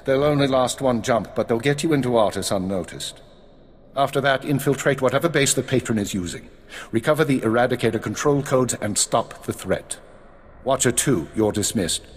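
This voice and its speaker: A man's voice: mid-range voice, moderate pace, husky, close to an everyday voice. An actor voicing a stern middle-aged male spymaster who briefs an agent in a clipped, commanding tone, in a video game cutscene. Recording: long hall reverb.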